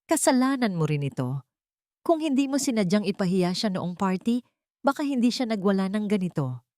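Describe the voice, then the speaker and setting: A woman's voice: mid-range voice, sing-song, normal speed, a little mellow, dry recording, studio quality, pitched above the performer's own voice, close to an everyday voice. A woman voicing a desperate, manipulative cheating husband in an audio drama, pleading and blustering. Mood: angry, defensive.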